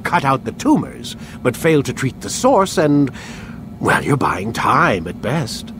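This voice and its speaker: A smug captor, lively intonation. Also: male voice, medium pitch. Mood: smug lecturing. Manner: measured, condescending, deliberate. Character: smug, lecturing male captor with grand theories. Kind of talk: video game cutscene acting. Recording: clear ambient hum and noise.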